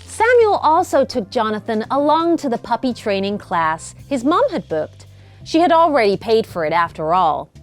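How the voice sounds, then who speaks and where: Animated, high-voiced woman storyteller. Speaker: children's story narrator. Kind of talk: expressive scripted bedtime-story narration. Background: music.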